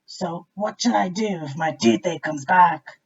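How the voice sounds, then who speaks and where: Big snappy crocodile for a picture book , played by a woman: booming, drawn-out lines, rough-edged tone, dull lo-fi sound. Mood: grateful, emphatic. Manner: loud, drawn-out, emphatic delivery. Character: big selfish snappy male crocodile, later grateful.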